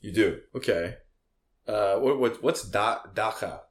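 A man who does stand-up comedy, chatting casually with deadpan, crude jokes and bursts of mock outrage. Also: fairly low pitch, unhurried. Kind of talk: crude joking banter.

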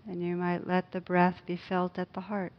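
A woman meditation teacher leading a guided loving-kindness meditation in a gentle, hushed, unhurried manner with long pauses. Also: lowish voice, monotone, dull audio.

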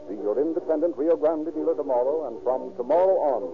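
A man doing formal, declamatory scripted announcing and narration in a 1930s radio style, with measured phrasing. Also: a little high, music in the background. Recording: tinny 1930s radio recording.

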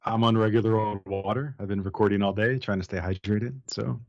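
A man from Tennessee, chatting as a guest on a video call in a friendly, fast, rambling way. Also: lowish voice, steady tone, no echo, highs cut off. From Tennessee, USA. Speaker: guest, enterprise architect. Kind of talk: casual video-call chat.

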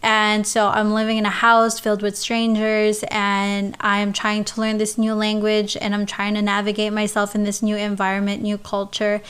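Young woman from the Philippines, casual confessional talk, smooth clear voice, flat even delivery, halting with restarts. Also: medium pitch. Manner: halting, with many restarts and soft laughs. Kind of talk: casual personal storytelling, answering questions.

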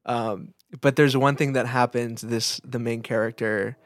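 A man co-hosting a casual podcast, thinking aloud in a reflective, halting way. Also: medium pitch, steady tone, no room sound, studio quality. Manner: reflective, halting, piecing thoughts together. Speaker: podcast co-host, photographer.

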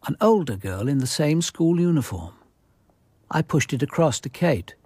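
An actor playing a measured, observant police detective narrating his investigation in a radio drama. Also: on the high side, big pitch swings, dark tone, slightly rough, no echo. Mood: measured, grave.

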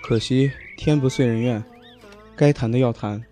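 An actor playing a composed, slightly aloof young valley lord who speaks in a measured way. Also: male voice, mid-range voice, fairly even intonation, warm tone, soft background music, lightly acted. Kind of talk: Chinese audio drama acting and narration.

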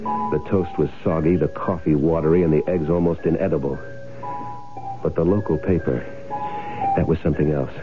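A man's voice: very deep voice, steady tone, normal speed, pauses often. An actor playing a scheming, shaken man who tells his own crime story in a terse, wry, old radio drama style. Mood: wry, weary. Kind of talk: old radio drama acting, hard-boiled first-person narration. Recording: muffled vintage radio drama recording, music behind.

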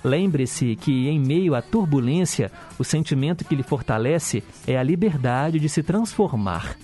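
A man with a Brazilian Portuguese accent, hosting a radio show, chatting quickly and reading horoscopes with a joke at his own expense. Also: on the high side, expressive, warm tone, faint music behind. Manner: brisk, chatty, jokes at himself. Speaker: radio host.

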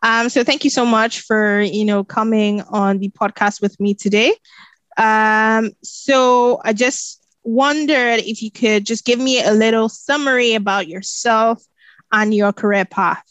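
A woman's voice: fairly high pitch, fairly even intonation, a little slow, fairly bright tone, clear, resonant tone, no echo. A woman hosting a podcast interview, upbeat and friendly, laughing easily as she welcomes a guest. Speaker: podcast host. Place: United Kingdom.

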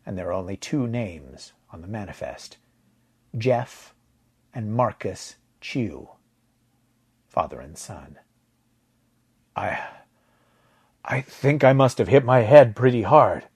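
Male narrator for sci-fi audiobook: low husky voice, measured and dramatic, very dry recording. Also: animated, darkish tone, no room sound. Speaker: fiction narrator. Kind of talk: first-person audiobook narration of a science fiction story.

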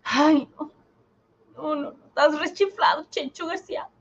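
A man's voice: extremely high pitch, unhurried, lo-fi sound, voice pushed up. A man voicing a fretful, doubting wife who whines and scolds fondly in a comic radio drama. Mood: exasperated. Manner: whining, scolding, affectionate diminutives.

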